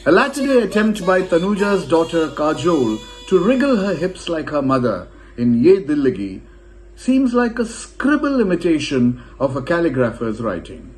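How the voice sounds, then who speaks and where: A man reading a scripted film review as narrator, with expressive, shifting emphasis. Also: a little high, normal speed, faint music behind, rough recording.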